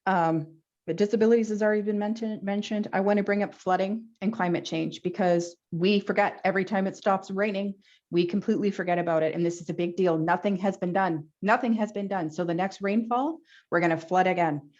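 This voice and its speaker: A woman's voice: mid-range voice, brisk, darkish tone, lo-fi sound. A woman candidate giving a passionate forum speech, repeating rhetorical questions. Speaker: Green Party candidate. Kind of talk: debate closing statement.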